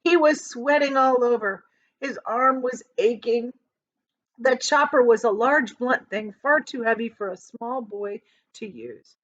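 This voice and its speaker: A woman reading a children's book aloud like a teacher, in an expressive storytelling style. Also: a little high, measured pace. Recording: slightly dull, lo-fi sound.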